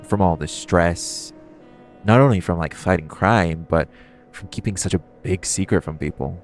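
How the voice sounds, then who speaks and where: A man's voice: sits low, soft background music. A man playing a flirty, cocky supervillain thief in an audio roleplay, chatting casually and teasingly to the listener. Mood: curious, needling. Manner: casual, teasing, conversational with playful pauses.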